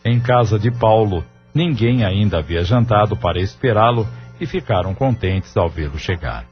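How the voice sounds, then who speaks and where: A low male voice a man reading tells a sentimental family story with solemn Brazilian delivery. The audio novel sounds a little dull, with faint music underneath. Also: animated, normal speed, natural voice. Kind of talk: scripted audio-novel narration.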